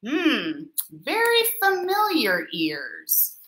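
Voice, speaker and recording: A woman's voice: high voice, wildly swooping pitch, drawn-out words, sharp tone, reverberant, heavily acted, low-quality recording. A woman reading aloud as an earnest young male cat playing secret agent, with a dramatic, self-important manner. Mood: intrigued.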